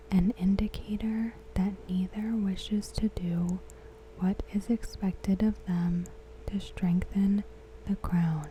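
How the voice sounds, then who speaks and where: A woman narrates in a soft, breathy ASMR whisper, taking her time over every phrase. Her tone is very dark and mellow, with a deliberate, hushed delivery. A faint background hiss sits behind the voice. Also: lowish voice, rough recording. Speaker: ASMR host.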